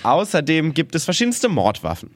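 A man's voice: a little high, huge pitch swings, brisk. A man hosting a podcast, chatting casually with a playful, joking manner, stuffed up with a cold. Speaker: podcast host. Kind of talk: casual chat.

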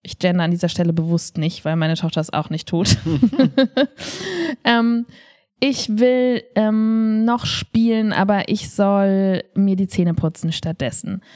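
Eine Frau in ihren Dreißigern spricht als Co-Moderatorin eines Podcasts locker, offen und nachdrücklich. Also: female voice, mid-range voice, steady tone, unhurried, a little mellow, no room sound, clean studio sound. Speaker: podcast co-host, improv performer. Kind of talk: casual conversational podcast talk.

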